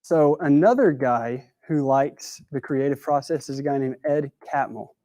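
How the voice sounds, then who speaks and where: A man's voice: mid-range voice, moderate pace, mellow, no room sound. A man from West Texas with a West Texas accent, giving a prepared stage talk in a folksy storytelling manner with dry jokes. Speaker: student speaker. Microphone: handheld or stand mic on stage.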